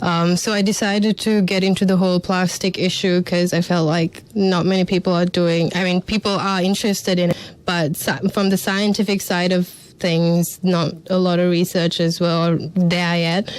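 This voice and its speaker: A woman's voice: fairly low pitch, flat delivery, normal speed. A woman, explaining her research steadily as a marine biologist guest on the radio. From Sri Lanka. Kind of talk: radio interview answers. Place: radio studio.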